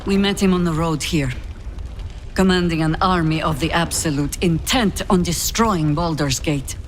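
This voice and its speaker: A woman playing a shrewd, very old druid heroine in a fantasy game, speaking in a measured, formal, authoritative way. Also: deep voice, a little hiss or hum, close to an everyday voice. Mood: grave, resolute. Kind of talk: fantasy video game dialogue.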